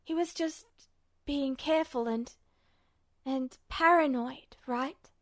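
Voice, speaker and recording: A woman's voice: high voice, slow, no room sound, clean studio sound. A woman narrating fiction in a dramatic, emotive style, like an audiobook reader. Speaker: podfic reader.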